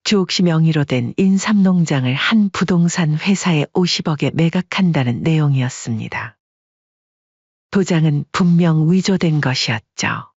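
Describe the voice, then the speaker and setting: A man narrating a story audiobook in a steady storytelling manner, on a dull, dry recording. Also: fairly high pitch, moderate pace, slightly rough, no echo.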